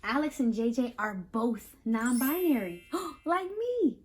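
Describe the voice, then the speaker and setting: Animated, high-voiced woman teaching young children. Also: slow, husky. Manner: animated, instructive, addresses listeners with questions. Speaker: host reading a picture book aloud to children. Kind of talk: children's read-aloud with teaching asides.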